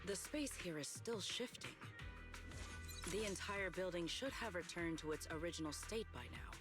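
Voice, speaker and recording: A stern, formal swordswoman from a video game, acted by a woman. Her phrases are clipped and solemn, yet the pitch moves widely. Loud game music surrounds the voice. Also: lively intonation, normal speed, close to an everyday voice. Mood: grave, formal.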